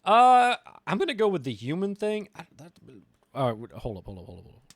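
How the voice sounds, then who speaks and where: A man's voice: high-pitched, wildly swooping pitch, brisk, dry recording, studio quality. A man streaming a visual novel, reading aloud theatrically and adding crude, casual asides.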